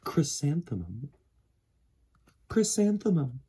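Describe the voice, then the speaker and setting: Very animated man reading a storybook. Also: a little high, slightly rough, rough recording. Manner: theatrical, dramatic pauses and emphasis. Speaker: storyteller reading a picture book. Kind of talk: animated children's storybook narration. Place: small indoor room with bookshelves.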